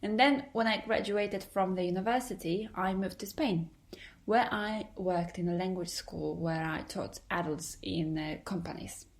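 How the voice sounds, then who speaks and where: A woman's voice: medium pitch, a little slow. A young adult woman with a Polish accent, an English teacher talking through her solo podcast in a careful, earnest and slightly formal way.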